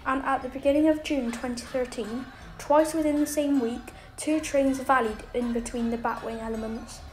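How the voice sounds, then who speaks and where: A woman's voice: high-pitched, steady tone, normal speed. A woman reading a scripted documentary narration as the narrator of a video. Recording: roomy echo, faint background noise.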